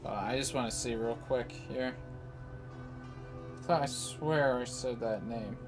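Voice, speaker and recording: A man's voice: medium pitch, measured pace, music in the background. A man giving casual live commentary as host of a gaming video.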